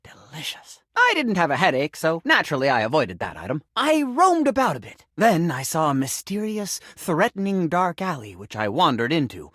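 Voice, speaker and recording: An enchanted male sink in a video game who believes he is a grand claims adjuster. He is pompous and melodramatic, with florid formal diction. His pitch leaps about freely, and the sound is close and dry. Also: wildly swooping pitch, moderate pace, few pauses, a bit bright, no room sound, exaggerated voice. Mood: theatrical storytelling. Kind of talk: video game character acting.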